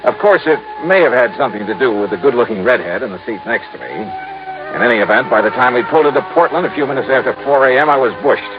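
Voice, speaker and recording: An actor playing a wry, world-weary American insurance investigator in a 1950s radio drama, with a dry, clipped, wisecracking delivery. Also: male voice, medium pitch, expressive, quick pace, music in the background, lightly acted. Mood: wry narrating. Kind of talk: 1950s radio detective acting and narration. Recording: muffled old radio recording, faint noise.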